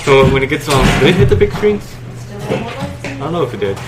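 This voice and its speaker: A man co-hosting a casual chat show, talking quickly and musing with hedges. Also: on the high side, sing-song. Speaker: podcast co-host.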